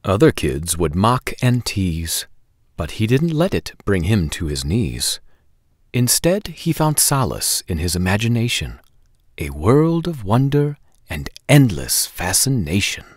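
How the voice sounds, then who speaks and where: A man in his forties hosting a children's storytime, reading aloud in an expressive, deliberate, sing-song way. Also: lowish voice, dry recording, studio quality. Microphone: lapel microphone.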